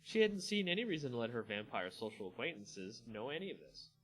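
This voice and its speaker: A man hosting and narrating a dramatic fantasy story reading with an expressive, theatrical delivery. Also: mid-range voice, a little fast, soft background music, poor audio. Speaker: channel host and story narrator.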